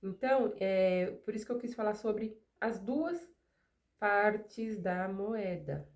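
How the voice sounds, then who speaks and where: A woman's voice: mid-range voice, animated, slow pace, a little mellow. Chatty Brazilian woman with a cold. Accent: Brazilian Portuguese. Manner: chatty, digressive, rhetorical asides. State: recovering from a cold. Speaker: craft studio owner and channel host. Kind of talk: casual chat to camera while working.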